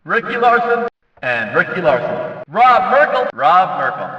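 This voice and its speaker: A man playing a hyped-up race announcer in a video game, calling out drivers' names in a showy, booming style. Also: very animated, echoey room, long reverb tail, far from the mic, muffled recording. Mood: punchy, upbeat. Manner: showy, booming, drawn-out name calls.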